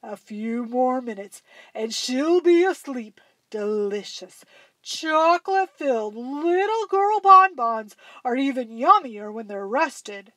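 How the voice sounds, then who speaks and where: A woman puts on a greedy storybook dinosaur, loud and high. It gloats and relishes every word, scheming for a meal. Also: animated, takes it slowly, dry recording, heavily acted. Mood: gloating.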